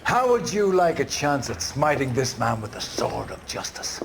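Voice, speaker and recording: An actor playing a grandiose, fire-and-brimstone older police captain in a video game, with a deliberate, sermonizing delivery. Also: male voice, mid-range voice, big pitch swings, gravelly, background noise. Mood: grand, probing. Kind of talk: video game dramatic acting.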